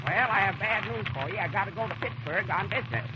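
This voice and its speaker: An actor playing a grouchy, frail old husband in a slow, drawn-out 1930s radio comedy sketch. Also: male voice, high voice, expressive, highs cut off. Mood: grumbling. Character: grouchy, frail old husband, weary of his battle-axe wife. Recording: noisy, crackly 1930s radio recording.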